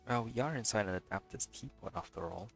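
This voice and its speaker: A man's voice: on the low side, a little fast, creaky. A man streaming a let's play, reading out dialogue choices in a playful way. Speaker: game streamer. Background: soft game music.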